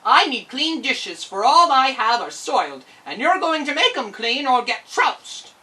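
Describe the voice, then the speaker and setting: Woman playing a bossy bullying giant man, forceful. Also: high-pitched, big pitch swings, measured pace, recorded from a distance, pitched above the performer's own voice, exaggerated voice, rough recording. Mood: stern, threatening. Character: stern bullying male giant, bossy and threatening.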